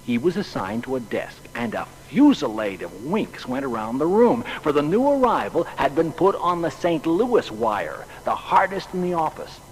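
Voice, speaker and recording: A man's voice: fairly high pitch, moderate pace, few pauses, low-quality recording. A folksy old telegraph office man spinning a yarn, super swoopy delivery, faint noise behind. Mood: amused recollection. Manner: animated, colloquial. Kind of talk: dramatised anecdotal storytelling.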